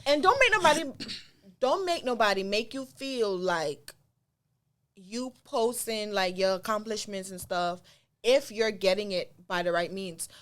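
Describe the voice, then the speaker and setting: A woman's voice: mid-range voice, unhurried, fairly bright tone. A young woman with a Caribbean accent, chatting casually as a podcast co-host in a blunt, emphatic, slangy way. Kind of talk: casual chat.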